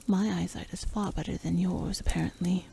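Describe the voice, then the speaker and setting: A woman's voice: fairly low pitch, fairly even intonation, normal speed, gravelly, warm tone, slight background noise. A woman playing a playful, cool-headed rogue in a fantasy roleplay ASMR, speaking in a teasing, intimate, half-whispered way. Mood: sly, calm.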